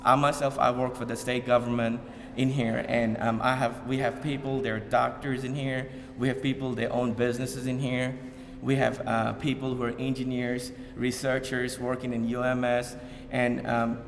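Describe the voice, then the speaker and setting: Halting man speaking in a reverberant hall. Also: medium pitch, level tone, gravelly, faint music behind. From Bangladesh. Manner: halting, many fillers and repeated starts. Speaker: community speaker. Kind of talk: informal public talk to an audience. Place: event hall.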